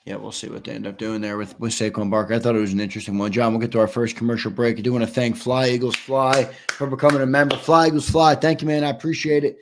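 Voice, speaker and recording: A man's voice: medium pitch, level tone, fast talker, few pauses, slight background noise. A man hosting a casual sports talk show, upbeat and losing his voice. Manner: upbeat, reads viewer questions and ad reads. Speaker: host.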